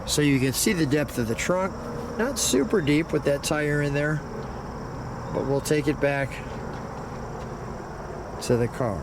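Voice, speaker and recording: A man's voice: fairly high pitch, expressive, unhurried, pauses often. Chatty American man, noisy outdoor recording. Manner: enthusiastic, chatty. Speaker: host of a car video series. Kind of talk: casual walkaround commentary and interview. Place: outdoors beside a parked car.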